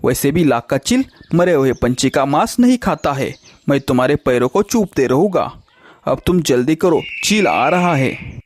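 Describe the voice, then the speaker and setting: A man voices a wise, loyal crow in a children's cartoon. He chides and instructs his friend in a fairly high male voice, repeating his phrases as he lays out plans. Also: expressive, moderate pace, slight background noise, lightly acted. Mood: urgent, instructing. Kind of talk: children's cartoon story acting.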